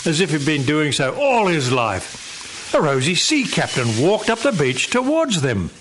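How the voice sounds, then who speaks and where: A man's voice: fairly high pitch, big pitch swings, unhurried, fairly bright tone. A man giving lyrical, theatrical scripted narration for live radio theatre. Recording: clear background noise, live audience recording.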